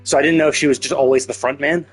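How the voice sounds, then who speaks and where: A man's voice: medium pitch, fairly even intonation, rapid-fire. A man giving casual, rambling live commentary as a game streamer, thinking aloud as he goes. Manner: mild casual swearing. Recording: game music under the voice. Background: game music with guitar.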